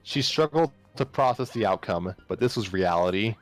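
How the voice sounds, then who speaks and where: A man with a low voice narrates a story in an informal, amateur read-aloud. He is prone to stumbling and repeating phrases, and music plays underneath. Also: expressive, moderate pace.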